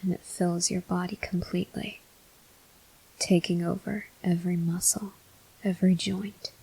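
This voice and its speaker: A woman plays a tormented vampire soldier in an intimate ASMR roleplay. The voice is low and husky, often sinking into whispers, with a gravelly creak. Every phrase comes out grave and halting, stern and weary. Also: level tone, warm tone, full character voice, poor audio. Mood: bleak, brooding. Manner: slow, halting, grave delivery. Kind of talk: ASMR roleplay, slow dramatic monologue.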